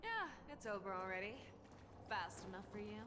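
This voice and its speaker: A woman's voice: mid-range voice, very animated, moderate pace, natural voice. A woman voicing a cool, confident, taunting huntress in a video game. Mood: smug, teasing. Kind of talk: video game battle lines. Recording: game audio under loud music.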